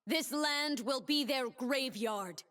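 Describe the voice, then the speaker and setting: An actress voicing a proud, commanding warrior dancer who defends her homeland, speaking solemn, measured lines for a video game. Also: sits high, a bit bright, long reverb tail. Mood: grim resolve.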